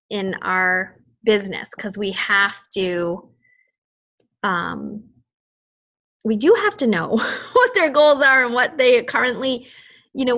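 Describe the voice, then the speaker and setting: Woman, Boston accent, fitness team leader, rambling casual chat, frequent laughs, crisp bright tone. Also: medium pitch. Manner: chatty and rambling, laughs often.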